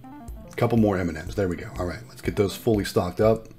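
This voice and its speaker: A man's voice: sits low, fairly quick, a little mellow, soft background music. A man narrating a casual vlog in an upbeat, appreciative way, explaining things as he goes. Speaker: vlogger and vending machine operator.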